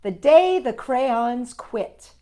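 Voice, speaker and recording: Expressive, slow-talking woman storyteller. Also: a little high. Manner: expressive, deliberate, talking to young listeners. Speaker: children's storytime host. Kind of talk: children's picture-book read-aloud with asides.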